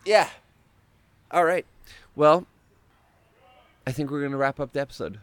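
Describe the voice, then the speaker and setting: A man's voice: on the high side, unhurried, smooth, no room sound. A man in his thirties from Sweden, hosting a podcast in casual chat. He laughs often while telling rambling anecdotes. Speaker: podcast host.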